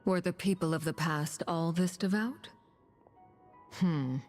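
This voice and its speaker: A woman voicing a calm, reflective female adventurer in a video game, serene and deliberate. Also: on the low side, faint music behind. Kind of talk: video game character acting.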